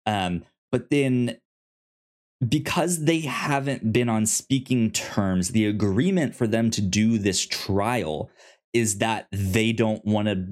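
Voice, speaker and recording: A man co-hosting a podcast in casual review chat, halting and often stammering over his words as he explains. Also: on the low side. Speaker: podcast co-host.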